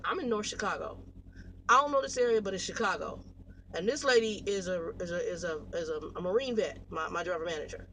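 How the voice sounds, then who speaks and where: An American woman in her late forties talks casually to her viewers, rambling through stories in a blunt, animated, slangy way. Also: medium pitch, slight background noise, low-quality recording. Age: 40s. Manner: blunt, animated storytelling with slangy, salty language. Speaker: truck driver vlogger.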